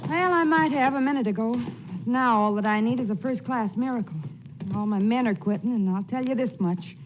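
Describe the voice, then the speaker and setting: Woman playing a feisty, higher-pitched young rancher. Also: fairly quick, natural voice. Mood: exasperated. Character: frank, feisty young female ranch owner. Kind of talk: 1940s radio western acting. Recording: muffled 1940s radio recording, faint noise.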